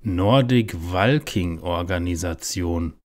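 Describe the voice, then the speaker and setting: A man's voice: low-pitched, takes it slowly. A man playing a paranoid, agitated adult son obsessed with conspiracies in a parody detective audio play. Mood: suspicious. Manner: agitated, overblown, threatening.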